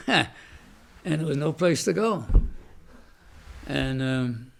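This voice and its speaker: An older man, a veteran, recalling his past in an oral history interview, halting with many restarts and fillers and a loud voice. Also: a little high, animated, vocal fry. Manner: says he has a loud voice.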